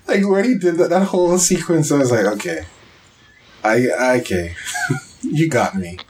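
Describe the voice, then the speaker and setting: A man's voice: mid-range voice, a little slow, a little mellow, a little hiss or hum. A man chatting casually as a podcast co-host, talking in a reactive way with short interjections. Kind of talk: casual anime review chat.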